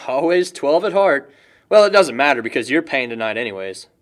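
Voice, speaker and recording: A man's voice: medium pitch, sing-song, fairly quick, close to an everyday voice. A man playing an easygoing young party host who jokes and gossips in an amateur audio drama. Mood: bantering.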